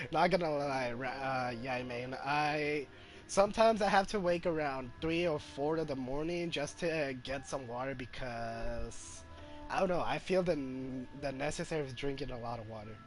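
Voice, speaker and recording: A man's voice: mid-range voice, moderate pace, poor audio. A man giving casual, musing and hesitant commentary as he streams a game playthrough. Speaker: gaming streamer. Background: game music.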